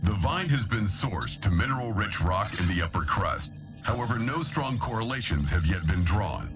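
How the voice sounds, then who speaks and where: A male military intelligence briefer voiced by an actor delivers formal game mission narration in a fairly low voice. His manner is impersonal, authoritative and clipped, with music clearly playing behind him. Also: lively intonation, normal speed, lightly acted. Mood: clinical, formal.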